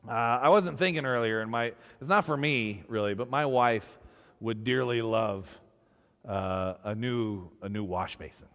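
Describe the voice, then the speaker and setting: A man's voice: mid-range voice, unhurried, a bit bright, in a large hall, pitched below the performer's own voice. A man voicing a humble, henpecked fisherman with a hesitant, apologetic manner in a told folk tale. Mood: sheepish pleading. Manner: hesitant, sheepish.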